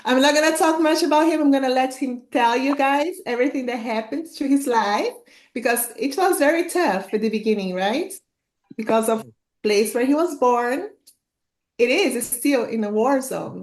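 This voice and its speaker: High-voiced woman host, echoey room. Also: lively intonation, normal speed. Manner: friendly, chatty introductions. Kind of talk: casual interview hosting.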